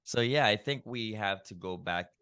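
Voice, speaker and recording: A man's voice: fairly low pitch, moderate pace, pushed and bright, clean tone, no room sound, studio quality. A man from the Philippines, a health coach telling his own story as a guest in a podcast interview, earnest and reflective, with many "you know" fillers.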